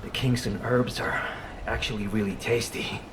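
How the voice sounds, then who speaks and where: An actor playing a laid-back, trusting soldier in a video game, with a hesitant delivery. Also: male voice, fairly even intonation, normal speed, noisy background, lightly acted. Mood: reassuring. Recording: echoey, loud rumbling outdoor ambience behind.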